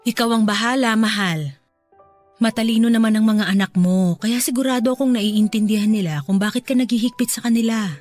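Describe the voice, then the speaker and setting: A woman playing a gentle, devoted middle-aged wife in a radio drama, speaking softly and affectionately over background music. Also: a little high, fairly quick, close to an everyday voice. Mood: tender. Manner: soft, affectionate, soothing. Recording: music bed behind voice.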